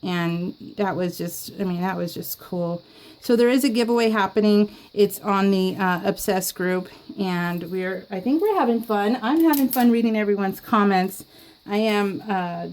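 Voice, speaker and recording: A woman chatting casually to her viewers while she scrapbooks, giggling often and filling pauses with ums and restarts. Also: mid-range voice, a little mellow, rough recording. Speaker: scrapbooking YouTuber. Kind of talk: casual chat while crafting.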